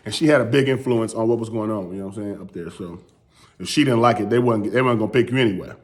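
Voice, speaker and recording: A man and battle rapper with a strained, hoarse voice, recapping his round in loose, rambling casual talk. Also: lowish voice, fast. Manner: loose, rambling, repeats "you know what I'm saying". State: losing his voice.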